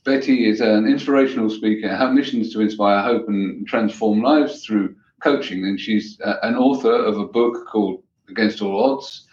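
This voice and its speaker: A man hosting an interview, speaking in a measured way with frequent restarts. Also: lowish voice, darkish tone, echoey room, dull audio. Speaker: host. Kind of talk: interview host.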